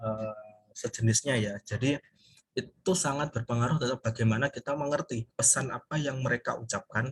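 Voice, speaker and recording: A man teaches a recorded university class. His pitch sits in a middle range, and he explains informally, hesitating often as he looks for the next word. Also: husky. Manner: halting, searching for words, with frequent hesitations. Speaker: university English lecturer.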